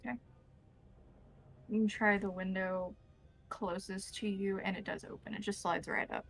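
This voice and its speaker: A woman narrating a tabletop roleplaying game as game master, improvising scenes in a measured, descriptive way. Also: medium pitch, flat delivery, gravelly, a bit bright.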